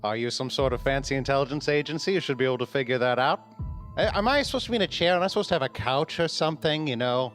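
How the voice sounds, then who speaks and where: Music plays behind a brash, profane outlaw played by a man in a tabletop game. He is flippant and defiant, a crude fire-lover with a middling pitch. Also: quick pace, fairly bright tone, lightly acted. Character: cocky, crude anti-corporate male outlaw who loves fire. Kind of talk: tabletop roleplay acting.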